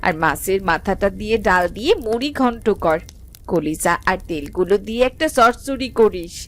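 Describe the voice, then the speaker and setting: A woman's voice: mid-range voice, animated, moderate pace, close to an everyday voice. A woman playing a fussing, scolding village family woman in a cartoon story, with music behind. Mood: fussing, caring. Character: fussing, scolding village women of a family, wife and mother-in-law.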